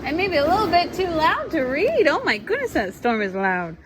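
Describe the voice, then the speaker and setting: A woman in her thirties reading a storybook aloud in an animated, drawn-out way, breaking off to chat with young children. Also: very high voice, over a noisy crowd. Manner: animated, drawn-out delivery with exclamations. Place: at home among young children.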